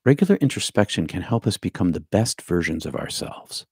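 A man's voice: sits low, sing-song, a little fast, darkish tone, breathy edge, no echo. An older man giving a scripted spiritual talk from a home study, reading from notes and dropping to a near whisper for emphasis, close on a studio mic. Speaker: spiritual teacher. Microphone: studio mic on stand with headphones.

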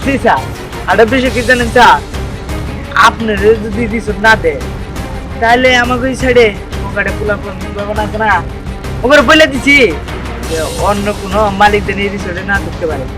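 A man's voice: high voice, expressive, brisk, pauses often. A man with a colloquial Bangladeshi accent playing an eager, fawning assistant in a web drama. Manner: obsequious, reassuring. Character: eager, fawning male assistant to a rich boss. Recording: music over the dialogue.

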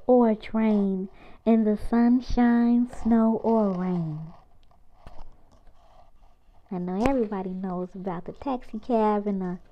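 A woman reading a children's picture book aloud in a slow, sing-song, animated way, pointing things out to young listeners. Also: on the high side. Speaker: children's storyteller. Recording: dull lo-fi recording.

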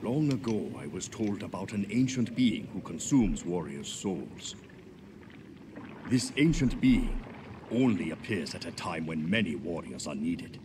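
Old male mystic, low voice, grave, measured, video game, distant with background noise. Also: very animated. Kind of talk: video game cutscene acting. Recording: distant voice, clearly noisy ambience.

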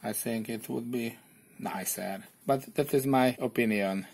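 Man, Hungarian accent, non-native English, kit-building tutorial voice-over, plain halting delivery, mid pitch. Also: gravelly, darkish tone, slightly rough. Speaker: electronics kit reviewer. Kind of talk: hobby electronics tutorial voice-over.

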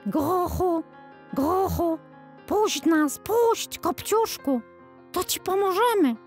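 High, cooing little storybook pigeons. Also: female voice, lively intonation, slow, soft background music, heavily acted. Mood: pleading, eager. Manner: repetitive cooing chant, coaxing. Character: small, kindly, cooing talking pigeons.

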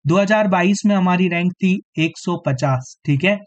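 A man teaching a live online exam-prep quiz, slightly hoarse, clearing his throat now and then and tagging his sentences with a question of agreement to his students. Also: sits high, moderate pace, lo-fi sound. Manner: repeats "theek hai" as a tag, addresses students directly.

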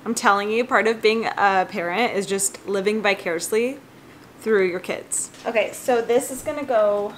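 A woman in her thirties chatting casually to a handheld camera at home, bubbly and quick to laugh. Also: medium pitch, slight background noise, low-quality recording. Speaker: vlogger, new mom. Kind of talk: casual vlog chat. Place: large bright home kitchen and bedroom. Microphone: camera held at arm's length.

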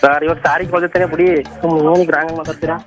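A man's voice: fairly high pitch, flat delivery, very fast, fairly bright tone, faint music behind. A man with a southern Karnataka accent, a driver on the phone, answering in a plaintive, placating and bewildered way. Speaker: driver, prank-call victim. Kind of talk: phone conversation. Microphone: telephone.

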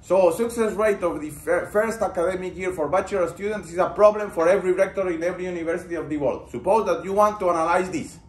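A man's voice: high voice, fast talker, hardly any pauses. A man lecturing a university class, posing questions to the students and building on their answers. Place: lecture room. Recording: roomy lecture-room echo.

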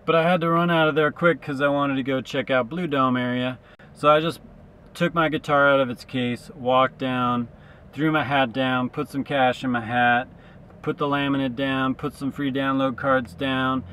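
A man's voice: mid-range voice, fairly even intonation, normal speed, fairly bright tone, slight background noise, rough recording. A man in his thirties, a traveling street musician, thinking aloud in a loose, reflective vlog monologue from inside his car to a dashboard camera. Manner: reflective, musing, loose with fillers.

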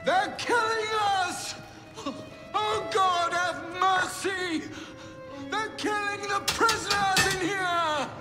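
A man's voice: very high-pitched, fairly even intonation, slow pace, pauses often, music in the background. An actor playing a terrified man, shrieking desperately for mercy, in a video game cutscene. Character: panicked man screaming for help in terror.

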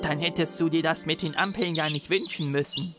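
A man's voice: a little high, steady tone, brisk, loud music behind the voice, lightly acted. A man voicing an eager young boy who is a wizard's apprentice in a children's audio drama, patiently explaining things. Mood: encouraging. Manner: helpful, explaining.

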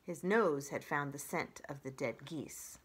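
A woman in her forties reading a children's story aloud in an expressive narrating style. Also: low voice, unhurried, breathy edge. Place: indoors by a brick fireplace.

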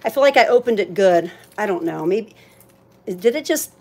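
A woman's voice: mid-range voice, animated, moderate pace, gravelly, mellow, slightly rough. A woman hosting a live auction in casual, chatty talk, thinking aloud as she handles items. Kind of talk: casual livestream sales chat.

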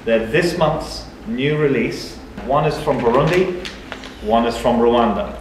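A man's voice: medium pitch, a little slow, slight background noise. A young man hosting a casual coffee tasting in a small cafe, enthusiastic and thinking aloud, recorded by a far camera mic. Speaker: coffee reviewer and show host. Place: dim cafe interior, in a small room. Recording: roomy, distant, with music behind. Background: background music.

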